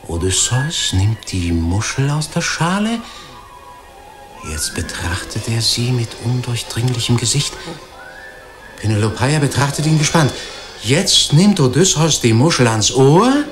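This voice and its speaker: A man narrating a radio play from a script in a solemn, deliberate, suspenseful manner. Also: mid-range voice, big pitch swings, over music.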